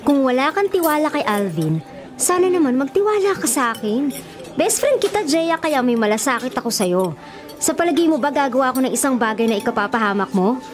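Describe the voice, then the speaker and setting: A woman's voice: high voice, big pitch swings, fairly quick, faint music behind. An actress with a Filipino accent playing a feisty, protective young woman who plays matchmaker for her best friend, bossy and spirited, in a radio drama. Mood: coaxing.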